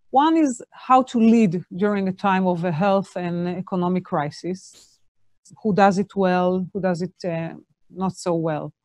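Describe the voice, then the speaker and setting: A woman's voice: lowish voice, a little mellow, dry recording. A woman hosting an interview, asking questions hesitantly with many fillers.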